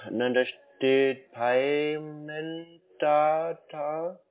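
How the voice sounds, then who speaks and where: A man's voice: a little high, fairly even intonation, drawn-out words, phone-quality sound. A man speaking as a naive, confused, literal-minded customer in a phone conversation. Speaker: scambaiter playing a naive customer. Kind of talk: slow, bumbling phone conversation. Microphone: telephone call.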